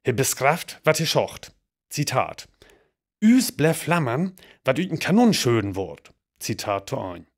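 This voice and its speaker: Male radio newsreader, mid-pitched, North Frisian accent, sober, scripted bulletin delivery. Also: sing-song, a little slow.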